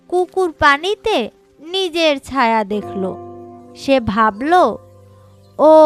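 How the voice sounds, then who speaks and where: Female storyteller, very high voice, unhurried pace, scripted fairy-tale narration, faint music behind. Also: a bit bright. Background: background music.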